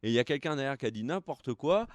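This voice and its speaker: A man's voice: medium pitch, lively intonation, fast, no room sound. A tired young man co-hosting a live film news show, rambling through the news with lots of hesitations. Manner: rambling, hesitant with many fillers. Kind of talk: casual live-stream chat.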